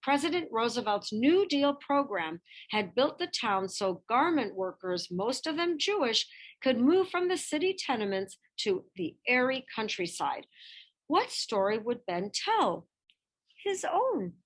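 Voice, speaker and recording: A woman reading a picture-book biography aloud as scripted narration, with expressive delivery and deliberate emphasis. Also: fairly high pitch, poor audio.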